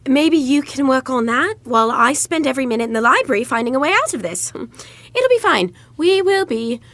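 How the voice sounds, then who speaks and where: A brisk, bookish young woman in a rom-com audio drama. Her highish voice moves expressively as she talks with wry, bossy insistence and slightly flustered confidence. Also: big pitch swings, fairly bright tone, dry recording, heavily acted. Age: young adult. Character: brisk, bossy, studious young woman scheming fake engagement. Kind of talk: naturalistic romantic comedy audio drama acting.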